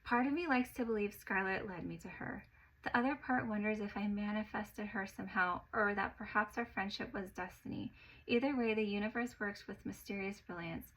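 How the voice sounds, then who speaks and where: A woman narrating a personal story in an expressive way, getting louder at the exciting parts. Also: medium pitch, a little fast, poor audio.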